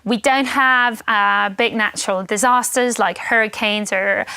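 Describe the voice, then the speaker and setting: Female presenter, native Estonian with Estonian accent. Slightly high, crisp voice, upbeat promotional delivery for an informational webinar. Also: fairly even intonation, a little slow, pushed and bright, no echo. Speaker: education marketing presenter.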